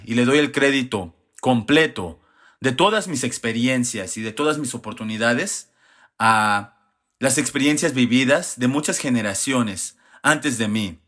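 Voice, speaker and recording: Hombre mexicano de mediana edad, acento mexicano, voz de tono medio. Habla pausado y reflexivo, como ponente en un panel comunitario. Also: male voice, mid-range voice, a bit bright. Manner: measured, reflective storytelling. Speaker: executive director on a panel. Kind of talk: panel conversation.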